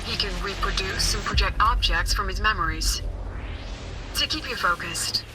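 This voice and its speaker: A woman voicing a calm, encouraging academy leader in a video game, measured and reassuring as she guides. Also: medium pitch, over music, natural voice. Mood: reassuring, thoughtful.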